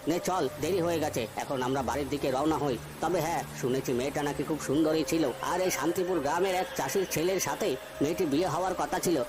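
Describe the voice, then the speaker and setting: A man's voice: on the high side, fast talker, natural voice. A man playing a protective, superstitious old village fisherman in a cartoon ghost story, commanding and scolding as he talks. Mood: grave storytelling. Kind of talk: Bengali animated ghost story voice acting.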